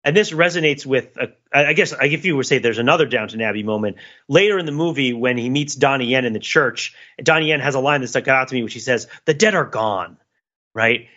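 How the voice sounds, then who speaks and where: A man's voice: mid-range voice, quick pace, bright, forceful tone, dull audio. A man co-hosting a podcast, talking through a film in a casual, analytical, rambling intellectual way. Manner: rambling, digressive, intellectual musing. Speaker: podcast co-host.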